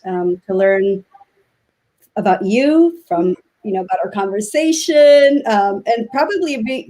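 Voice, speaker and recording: A woman from Mexico, a native Spanish speaker, speaking as a guest on a video call and talking reflectively with many pauses and fillers. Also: medium pitch, darkish tone. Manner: reflective, halting with many ums. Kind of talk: casual interview chat.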